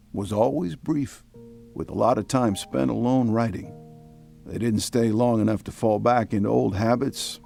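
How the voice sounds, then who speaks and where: A man's voice: mid-range voice, vocal fry, a little mellow. A man giving scripted, wry narration and hosting a radio drama. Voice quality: wry, measured. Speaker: narrator and host.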